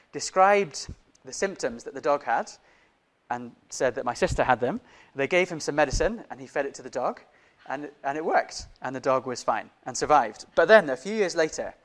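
Man, slightly high voice, preacher, sermon delivery, conversational, questioning manner. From Indonesia. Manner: conversational, rhetorical questions to listeners.

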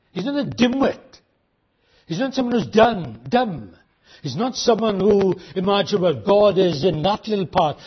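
Husky guy preaching, pretty high voice for a man, hammers the questions, muffled old recording. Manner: emphatic, rhetorical questions, slows for emphasis. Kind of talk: sermon.

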